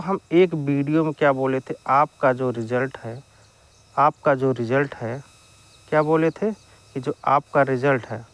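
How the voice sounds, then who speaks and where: A man giving an informal explainer to viewers, repeating his phrases for emphasis. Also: mid-range voice. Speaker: exam-news YouTuber.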